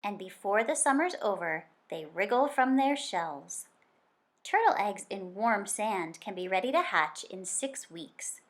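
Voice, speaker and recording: A woman reads a children's nonfiction picture book aloud like a teacher, with expressive, deliberate storytelling phrasing and stretched key words. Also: mid-range voice.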